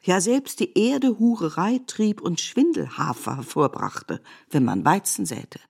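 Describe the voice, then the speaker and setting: A woman giving a measured, scripted literary narration in an essayistic manner for a radio production. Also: lowish voice, big pitch swings, vocal fry, a little mellow, slightly rough.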